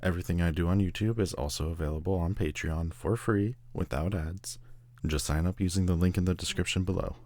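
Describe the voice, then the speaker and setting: Deep-voiced man reading a channel outro. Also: steady tone, fairly quick, dark tone, dry recording. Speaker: audio roleplay creator. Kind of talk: scripted outro addressed to listeners.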